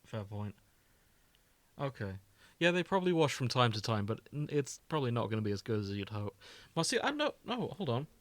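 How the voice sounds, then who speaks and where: A man's voice: mid-range voice, sing-song, brisk, dry recording. A man co-hosting a podcast, chatting casually about fandom in a joking, conversational way. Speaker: podcast co-host.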